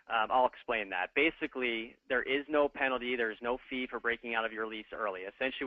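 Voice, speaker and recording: A man explaining equipment financing in a steady, plain way during a webinar. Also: mid-range voice, no echo. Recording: tinny phone-like line.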